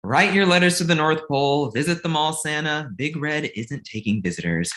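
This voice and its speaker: Man as bright, animated, petulant grown son. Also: on the high side, normal speed. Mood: eager, cocky. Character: cocky, needy grown son, amateur film buff, living with parents. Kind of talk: comedy script table read.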